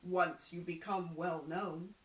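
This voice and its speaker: A woman voicing a sly old male fortune teller, making grand, drawn-out pronouncements in a storybook reading. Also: lowish voice, fairly even intonation, pitched below the performer's own voice. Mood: solemn. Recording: roomy echo, distant microphone.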